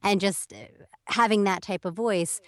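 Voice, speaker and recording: A woman's voice: mid-range voice, normal speed, a bit bright, dry recording, clean studio sound. A young woman speaking as a guest on an interview podcast, explaining at length in a chatty way and acting out quoted messages. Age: young adult. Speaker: voiceover talent guest.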